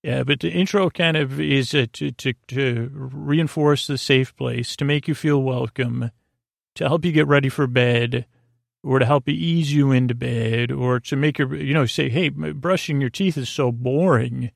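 A man with a gravelly, creaky voice hosts a bedtime podcast in a dry, close sound. He rambles haltingly from one absurd tangent to the next, in a low-stakes monologue meant to lull people to sleep. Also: no room sound. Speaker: podcast host.

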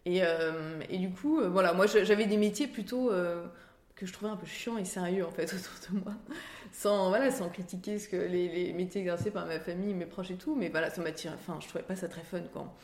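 Female, thirties, lowish register, chatty personal storyteller, recorded in an echoing room. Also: fairly quick, a little mellow. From France. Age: 30s. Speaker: interview guest, career-change coach and author. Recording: roomy, echoing sound.

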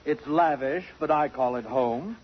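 An actor playing a vain, cynical, acid-tongued newspaper columnist in a 1950s radio drama, with an arch, self-satisfied manner. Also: male voice, fairly high pitch, measured pace, close to an everyday voice. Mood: urbane, dry. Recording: muffled, noisy 1950s radio broadcast.

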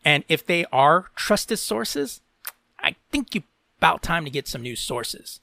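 A man's voice: a little high, very animated, a little slow, gravelly, bright, forceful tone, dry recording, studio quality. A podcast host from Latin America, a man who reads tech and entertainment news aloud and breaks off into opinionated commentary peppered with "you know".

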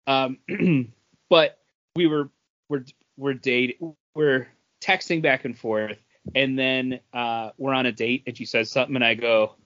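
A man's voice: mid-range voice, steady tone, measured pace, fairly bright tone, no room sound, dull audio. A man co-hosting a podcast in casual chat, telling anecdotes and acting out little exchanges as he goes. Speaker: podcast co-host.

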